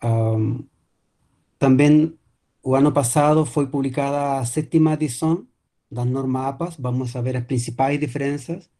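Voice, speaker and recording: Mellow-voiced Chilean man lecturing. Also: medium pitch, fairly even intonation, moderate pace. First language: Spanish. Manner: hesitant, many fillers and restarts, Spanish-tinged phrasing. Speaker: guest lecturer. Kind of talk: online academic presentation. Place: home, video call. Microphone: video call.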